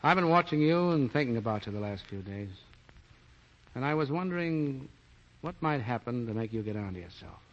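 A man plays a plain-spoken tough cop with a soft heart in a 1940s radio drama. His talk is blunt and slangy, and the broadcast sounds dull and muffled. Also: medium pitch, expressive, fairly quick, close to an everyday voice. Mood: blunt, probing.

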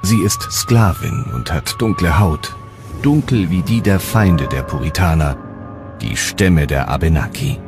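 A man's voice: low voice, expressive, a little slow. A man reading scripted documentary narration as the narrator. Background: music.

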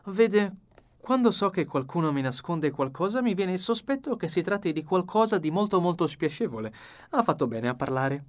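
A man acts out a shrewd little foreign detective from a mystery audiobook. He speaks high and courteously, with a theatrical, knowing deliberateness. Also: very animated. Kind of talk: acted audiobook dialogue.

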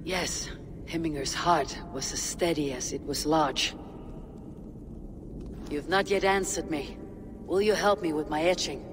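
An actress playing a resolute young Viking warrior woman in a video game, speaking in a grave, earnest, measured way. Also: low voice, steady tone. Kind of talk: dramatic video game acting. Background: music.

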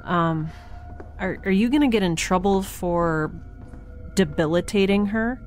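A woman playing a quirky, excitable young woman in a space audio drama, chatty, wry and rambling. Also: mid-range voice, measured pace, faint music behind, close to an everyday voice. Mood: eager, wry.

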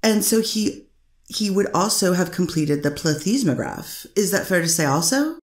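A woman's voice: fairly low pitch, sing-song, moderate pace, roomy sound. A woman voicing a careful lawyer, questioning a witness step by step in a courtroom reading. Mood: steady questioning. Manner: methodical questioning, many "is that fair to say". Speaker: defense attorney.

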